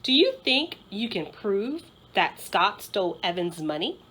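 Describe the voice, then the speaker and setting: Woman reading as a whiny, blunt schoolgirl, animated. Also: medium pitch, unhurried, husky, full character voice. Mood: pointed. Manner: whiny, pointed. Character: restless, bored, blunt schoolgirl friend. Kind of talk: children's storybook read-aloud.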